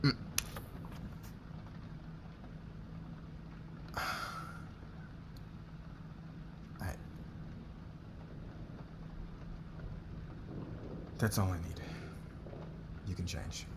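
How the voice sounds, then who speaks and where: A man acting a demanding, curt fashion boss who gets flustered by attraction, in an ASMR roleplay with clipped orders and hesitant pauses. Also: sits low, vocal fry, heavy background noise. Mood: awkward, hushed.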